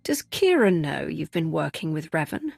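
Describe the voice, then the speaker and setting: An actress playing a brave, blunt heroine in a video game, with a husky voice. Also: female voice, low voice, very animated, normal speed, a little mellow, dry recording, exaggerated voice. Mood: inquiring. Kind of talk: dramatic game acting.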